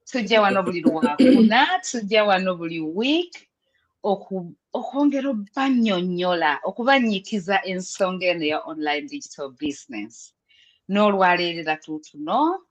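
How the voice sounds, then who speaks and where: A woman's voice: fairly low pitch, big pitch swings, brisk. A woman from Uganda with a Ugandan accent hosting a live online panel over a video call, upbeat, laughing often and switching between English and Luganda. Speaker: host.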